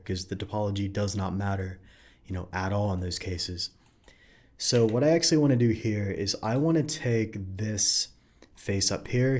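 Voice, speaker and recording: A man with a fairly low voice, slightly raspy, talking through a software tutorial in an easygoing, think-aloud way. Keyboard typing clicks along under his speech. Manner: relaxed, thinks aloud while working, frequent fillers. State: slightly raspy from long recording sessions. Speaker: 3D modeling tutorial host. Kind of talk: casual screen-recorded software tutorial.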